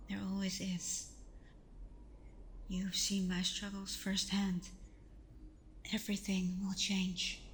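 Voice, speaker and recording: Vampire girlfriend, ASMR roleplay, female performer: hushed, breathy, tender but scolding, very unhurried, with audible background noise. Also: mid-range voice, completely flat delivery, close to an everyday voice. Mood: solemn, reluctant. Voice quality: soft, breathy half-whisper. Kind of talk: ASMR roleplay, close intimate acting.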